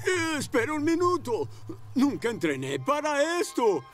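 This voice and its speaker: A self-important cartoon police motorcycle, very high for a male voice and swinging widely in pitch. He is earnest and officious, taking his words slowly. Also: wildly swooping pitch, exaggerated voice. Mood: officious, excited. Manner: deliberate, officious. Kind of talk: animated children's cartoon dubbing. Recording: music behind the voice.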